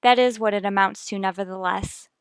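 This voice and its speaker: A woman playing a worried, firm mother with a measured, formal manner in a radio soap opera. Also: medium pitch, steady tone, smooth, dry recording, studio quality, close to an everyday voice. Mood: composed.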